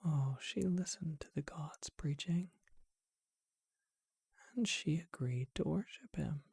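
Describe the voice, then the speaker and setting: A performer voicing a charming vampire who tells an old tale in a gentle, breathy ASMR whisper, unhurried and reflective. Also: mid-range voice, dry recording, clean studio sound, heavily acted. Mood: hushed storytelling.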